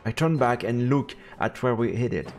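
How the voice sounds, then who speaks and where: A man's voice: mid-range voice, expressive, normal speed, darkish tone. A man narrating a fantasy visual novel aloud as a streamer, in a dramatic storybook style. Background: faint game music.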